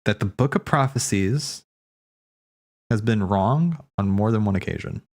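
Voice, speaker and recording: Low-voiced man, casual rambling streamer. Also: expressive, a little slow, darkish tone. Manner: hesitant, repeating words as he thinks aloud. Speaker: streamer hosting a watch party. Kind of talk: casual rambling commentary, replying to chat.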